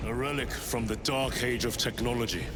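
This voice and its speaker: A man's voice: medium pitch, steady tone, moderate pace, noisy background, close to an everyday voice. A man playing a wry, disapproving soldier in a sci-fi video game, with dry, formal delivery. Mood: dry disapproval. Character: wry, disapproving male space-soldier battle-brother. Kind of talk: video game cutscene acting.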